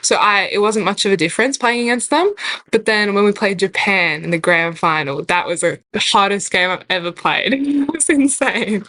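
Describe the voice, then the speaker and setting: A woman's voice: medium pitch, moderate pace, pushed and bright, dry recording. Teenage girl, from Hungary, chatty guest, filler-heavy casual talk. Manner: chatty, many "like" fillers, laughs often. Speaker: young basketball player, guest. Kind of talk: casual interview chat.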